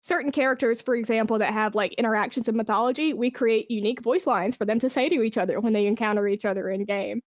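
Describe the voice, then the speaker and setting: A woman's voice: fairly high pitch, fast, hardly any pauses, no echo, clean studio sound. A woman chatting casually as an interview guest, enthusiastic and informal, with some swearing. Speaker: podcast guest, esports brand manager.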